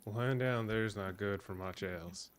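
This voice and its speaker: A man's voice: on the low side, measured pace, heavy background noise, lightly acted. A man playing easygoing, casual men of a frontier town story in an amateur radio play. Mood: laconic, friendly.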